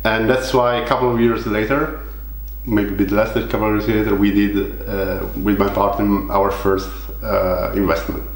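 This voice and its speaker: A man's voice: fairly low pitch, flat delivery, normal speed, echoey room. A man from Italy, a native Italian speaker, answering interview questions in casual, hesitant English with frequent restarts. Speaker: guest, property investor.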